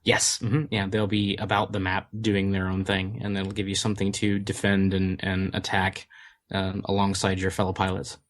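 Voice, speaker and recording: A man's voice: low voice, monotone, normal speed. A man, the community manager of a game studio, answering interview questions in a hesitant way with many fillers and restarts.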